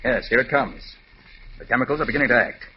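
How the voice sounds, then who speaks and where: An actor playing a clever, confident master detective with an assured, authoritative manner in an old radio drama. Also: male voice, medium pitch, fairly even intonation, quick pace, breathy edge. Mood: measured suspense. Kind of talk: 1940s radio crime drama acting. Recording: muffled, faintly noisy old radio recording.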